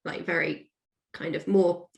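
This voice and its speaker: A woman chatting casually as a writer guest in an interview, telling things in an animated, chatty way with quoted asides. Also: lowish voice, measured pace, vocal fry. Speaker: guest, audio drama writer.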